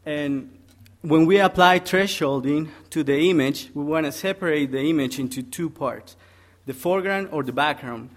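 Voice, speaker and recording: A young man from Peru presents a technical topic at a conference. He talks haltingly, with many ums, walking through each point step by step. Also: a little high.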